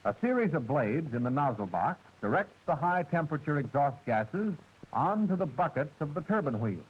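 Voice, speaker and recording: A man's fairly high voice narrates a wartime instructional film with precise, formal diction and a lively, rising and falling delivery. His tone is husky, and the old soundtrack is dull and muffled with a bit of music beneath it. Every step is explained in a measured, steady manner.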